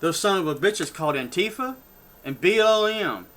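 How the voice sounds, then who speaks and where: A man's voice: high-pitched, animated, normal speed, fairly bright tone. An older man with a Texan accent, ranting off the cuff on his own channel, rambling and heated, with many restarts and asides. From Texas. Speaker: political vlogger. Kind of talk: off-the-cuff rant.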